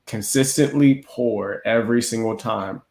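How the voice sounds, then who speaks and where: A man's voice: medium pitch, measured pace, darkish tone. A man chatting casually as a podcast host, opinionated and exasperated, with sardonic mock quotes, in a roomy space. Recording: roomy echoing sound.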